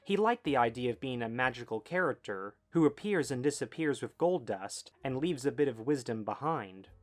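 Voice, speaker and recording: A man's voice: mid-range voice, animated, normal speed. A man reading scripted documentary narration as a video essay narrator. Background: background music.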